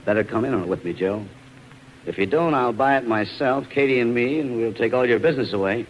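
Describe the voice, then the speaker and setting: An actor playing a confident, smooth-talking showman with a breezy, persuasive manner in a 1940s radio drama. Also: medium pitch, a little fast, dull audio, a little hiss or hum, close to an everyday voice.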